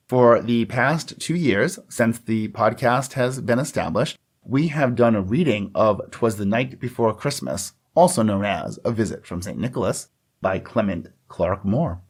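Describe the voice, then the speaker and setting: Low-voiced man reading theatrically. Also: husky. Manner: measured, theatrical storytelling. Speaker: podcast co-host. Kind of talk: podcast chat and dramatic poem reading.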